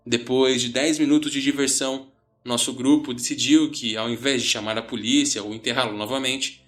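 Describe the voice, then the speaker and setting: A man's voice: mid-range voice, fairly bright tone. A man narrating a scripted first-person horror story in a dramatic storytelling style. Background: background music.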